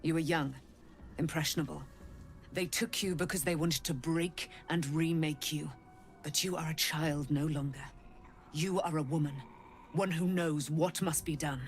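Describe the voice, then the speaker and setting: An actress playing a wise, commanding celestial messenger in a video game, speaking in grave, formal, deliberate phrases. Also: female voice, sits low, fairly even intonation, faint music behind. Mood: grave, probing. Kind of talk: video game dramatic acting.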